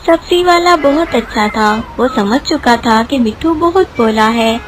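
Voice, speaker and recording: High-pitched woman narrating cartoon stories. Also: expressive, unhurried, a little hiss or hum. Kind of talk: children's cartoon story narration.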